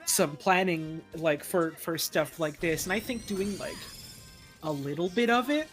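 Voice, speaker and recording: A mid-pitched voice in casual, rambling stream chat. The speech is halting and often acts out quoted thoughts, with music playing behind it. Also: lively intonation. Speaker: fandub voice performer on a livestream. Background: background music.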